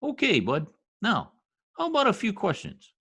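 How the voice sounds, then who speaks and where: A man's voice: high-pitched, huge pitch swings, normal speed, gravelly, bright, forceful tone, husky, heavily acted. A man playing a brash, folksy census taker in old-time radio comedy style, slangy, blustering and easily exasperated. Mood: breezy, self-important.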